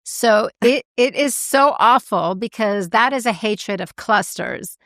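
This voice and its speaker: Woman co-host, congested and gravelly, animated, dry studio sound. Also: fairly high pitch, slow, no echo. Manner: animated, self-deprecating storyteller. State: congested, clearing throat and coughing. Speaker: podcast co-host. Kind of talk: casual chat.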